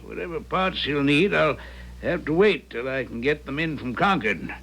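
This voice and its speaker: An actor playing an unhurried small-town American garage man in a radio drama, folksy and plain-spoken. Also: fairly high pitch, a bit bright. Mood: folksy, grave. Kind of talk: 1970s radio drama acting.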